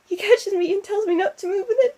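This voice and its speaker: A woman playing a distraught, lonely young female ghost in an emotional audio roleplay, speaking haltingly with stammered repetitions. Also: extremely high pitch, dark tone. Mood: panicked, tearful.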